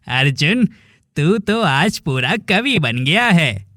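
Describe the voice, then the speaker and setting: A man's voice: fairly high pitch, sing-song, drawn-out words, bright, forceful tone, no room sound, clean studio sound, full character voice. A man voicing a bold, teasing young villager in a dramatic cartoon. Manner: familiar, teasing, emphatic.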